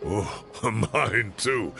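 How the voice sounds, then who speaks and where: An actor voicing a fussy, good-humoured old professor in a video game, with a creaky aged voice and a theatrical, avuncular, grumbling manner. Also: male voice, on the low side, animated, extremely slow, pushed and bright, soft background music, exaggerated voice. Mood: genial. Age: older.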